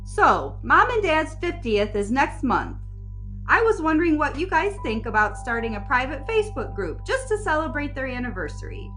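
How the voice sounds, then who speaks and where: A woman's voice: high voice, big pitch swings, normal speed, a bit bright, faint music behind. A middle-aged American woman chatting and telling stories casually as a podcast guest. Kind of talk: casual conversational storytelling.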